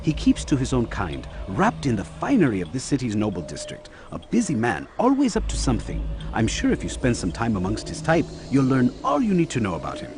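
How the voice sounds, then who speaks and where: An actor playing a playful, mocking informant in a video game, theatrical and drawn-out in his delivery. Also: mid-range voice, wildly swooping pitch, background noise. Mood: helpful, knowing. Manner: theatrical, drawn-out, gleefully sarcastic. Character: playful, teasing bureau informant, effusive and mocking.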